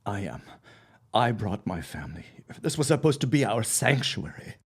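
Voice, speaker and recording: A grieving father in an audio drama, acted by a man, speaks in a low voice that swings widely up and down. He is racked with guilt and torn by doubt, a man of the early twentieth century. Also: very animated, moderate pace, breathy edge. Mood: grieving, subdued.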